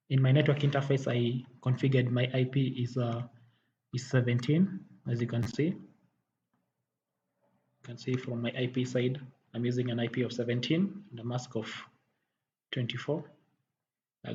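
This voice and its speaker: A man giving a software tutorial as a technical instructor, halting and often rephrasing as he explains. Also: medium pitch. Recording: dull muffled audio, faint background noise.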